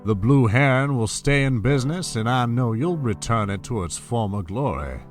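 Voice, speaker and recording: A man acting a proud middle-aged businessman in an audio drama, ruthless but soft toward an old love. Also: mid-range voice, expressive, normal speed, faint music behind, lightly acted. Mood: wry, magnanimous.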